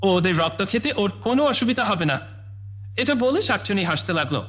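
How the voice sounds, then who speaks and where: A man's voice: high voice, sing-song, fast, heavy background noise. A man narrating a scripted cartoon tale for children as the storyteller. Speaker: storyteller and channel host.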